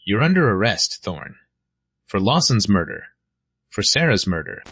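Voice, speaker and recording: Husky, weary detective dad played by a man. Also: fairly low pitch, sing-song, unhurried, fairly bright tone, dry recording, heavily acted. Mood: stern. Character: weary male police detective, regretful father.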